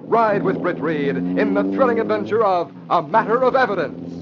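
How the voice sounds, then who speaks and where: A man's voice: sits high, wildly swooping pitch, moderate pace. A man announcing in emphatic, dramatic 1940s radio style, reading scripted narration and ads. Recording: muffled old radio, faint hiss.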